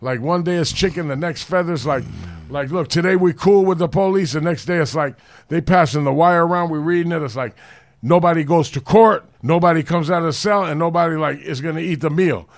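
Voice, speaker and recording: A middle-aged man from Los Angeles with a deep, loud voice, telling blunt street stories full of swearing in a casual chat. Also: a little fast. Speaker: podcast co-host.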